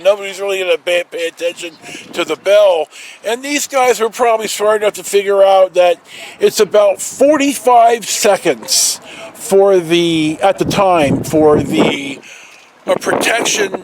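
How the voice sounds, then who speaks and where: A middle-aged man telling a casual anecdote in a rambling, halting way, often repeating words and losing his thread. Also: high-pitched. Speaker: storytelling vlogger, former alarm company guard. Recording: clear outdoor noise, passing traffic.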